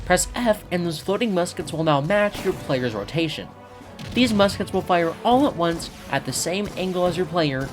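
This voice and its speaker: A man's voice: high-pitched, voice pushed up. A man doing a bouncy game-guide narrator voice. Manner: lively, sing-song guide delivery. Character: hyped-up male game-guide narrator persona. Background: clear background music.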